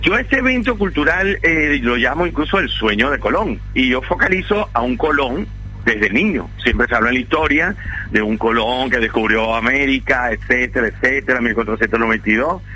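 Venezuelan man, bright rambling storyteller. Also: mid-range voice, normal speed, faint music behind, rough recording. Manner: rambling, animated storytelling with restarts. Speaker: event organizer, guest. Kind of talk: radio interview.

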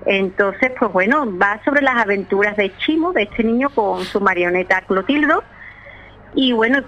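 A woman author from Seville with an Andalusian accent, chatting lively in a radio interview and often laughing. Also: mid-range voice, dull audio, a little hiss or hum. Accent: Andalusian Spanish from Seville. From Spain. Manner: lively, laughs often, talks in quick bursts. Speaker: children's author, guest.

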